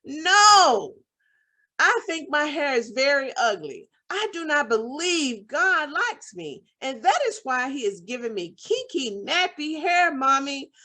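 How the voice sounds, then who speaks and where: A woman's voice: high voice, wildly swooping pitch, takes it slowly, fairly bright tone, voice pushed up, heavily acted. A woman voicing a sad seven-year-old girl who pleads in a whiny, tearful way. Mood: upset.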